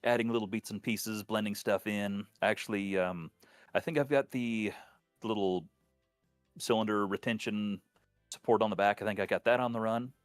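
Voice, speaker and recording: A man's voice: fairly low pitch, moderate pace, dry recording, clean studio sound, soft background music. An aging man hosting a casual podcast, friendly and wry, talking shop about his hobby builds. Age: older. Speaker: podcast host.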